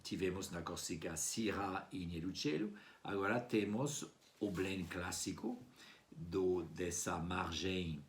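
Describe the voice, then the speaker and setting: Male wine presenter, French native with a French accent, low-pitched voice, informal and a little halting in his delivery. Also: slight background noise. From France. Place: at home indoors.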